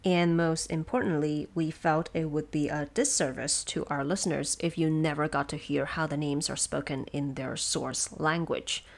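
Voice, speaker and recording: A woman hosting production notes, explaining things in a measured, semi-scripted and lightly wry way. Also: low voice, fairly even intonation, creaky. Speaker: host of production notes for an audio drama.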